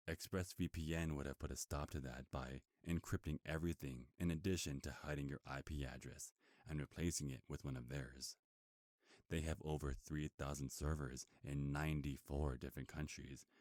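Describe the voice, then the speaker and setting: A man's voice: rumbling low, darkish tone, dry recording, studio quality. A man performing an ASMR roleplay as a smug, predatory werewolf in human form, taunting and possessive as he gloats seductively to his captive. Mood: smug persuading. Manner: taunting, possessive, smooth-talking.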